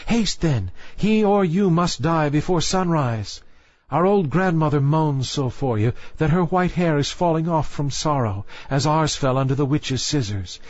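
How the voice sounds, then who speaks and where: A man's voice: fairly high pitch, expressive, a little slow, darkish tone, no echo, muffled recording, voice pushed up. Man putting on raised, urgent mermaid sister, mournful. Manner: urgent, imploring. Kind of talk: storybook narration dialogue.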